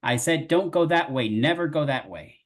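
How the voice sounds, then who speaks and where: A man voicing a friendly, chatty little male worm with homely, rough colloquial speech. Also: a little high, lively intonation, moderate pace, close to an everyday voice. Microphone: video call.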